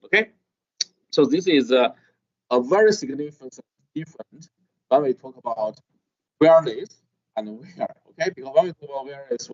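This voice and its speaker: A middle-aged man lecturing a class, with a halting manner and constant "okay?" checks. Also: medium pitch, a little mellow. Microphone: video call. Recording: dull lo-fi video call audio.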